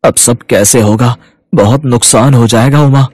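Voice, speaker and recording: A man acts out a poor toy-seller in a moral-story cartoon. He is worried and humble, with a medium-pitched voice. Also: measured pace, a little mellow, dry recording, studio quality, lightly acted.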